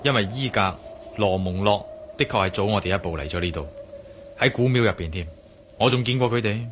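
Guy playing a determined hong kong cantonese adventurer in a radio play, brooding, expressive, noisy background. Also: fairly low pitch, brisk, close to an everyday voice. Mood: grave, insistent. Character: determined male adventurer, brooding and matter-of-fact. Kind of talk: Cantonese radio drama acting.

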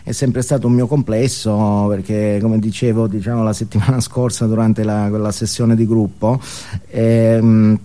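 A man's voice: fairly low pitch, little pitch movement, moderate pace, mellow, lightly acted. An actor playing a neurotic, ashamed, self-absorbed man who stammers and repeats words as he justifies himself in a comic stage monologue. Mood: awkward, confessional.

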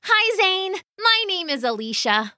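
A woman voicing a shy, polite female tortoise for a children's story, gentle but firm. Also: very high-pitched, big pitch swings, a little slow, dry recording, clean studio sound, pitched above the performer's own voice, heavily acted.

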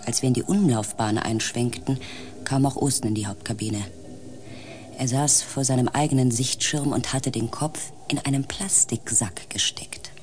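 An actress playing a restrained space expedition coordinator who narrates in a composed, formal way in a radio drama. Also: female voice, extremely low pitch, fairly even intonation, normal speed, a little mellow. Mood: narrating, troubled. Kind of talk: scripted first-person radio drama narration.

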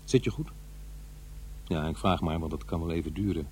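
Middle-aged divorced father, very low pitch, halting confiding delivery, uneasy, radio play, faint hum. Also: darkish tone. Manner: halting, hesitant, self-interrupting. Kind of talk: radio drama monologue and dialogue, conversational confiding delivery.

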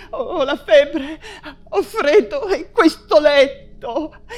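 An actress playing an anguished, feverish persecuted writer and mother in an intense theatrical radio monologue, halting and repeating her words. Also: high-pitched. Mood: feverish, desperate. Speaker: stage actress.

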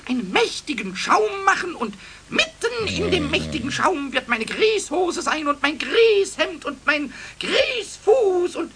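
An actor playing a cheeky, stubborn little kobold in a children's radio play, childlike and boastful, whiny and excitable, repeating words for emphasis. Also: male voice, very high voice, full character voice. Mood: boastful, excited. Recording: noisy 1970s radio play recording.

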